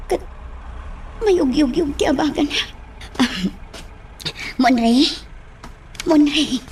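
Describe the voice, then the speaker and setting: A woman's voice: high-pitched, darkish tone, far from the mic, slight background noise. A woman playing a worried, pleading woman who consoles someone in a radio drama.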